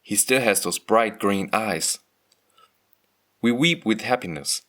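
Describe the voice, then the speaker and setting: A man reading a scripted first-person story as a motion comic narrator, measured and earnest. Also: on the low side, fairly bright tone.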